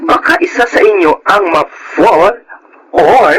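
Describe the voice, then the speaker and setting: An actress playing a sassy, frank office friend with a Filipino accent, speaking emphatically in a radio drama. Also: female voice, mid-range voice, very animated, slow, a crowd in the background. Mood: teasing, cautioning. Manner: emphatic, exclamatory. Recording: noisy radio drama recording.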